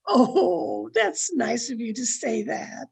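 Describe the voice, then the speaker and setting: A woman playing a sly, flattering old aunt in an old-time radio comedy, coy and sweetly confiding. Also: on the high side, slow, vocal fry, husky, exaggerated voice. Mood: encouraging. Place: home, online video-call production.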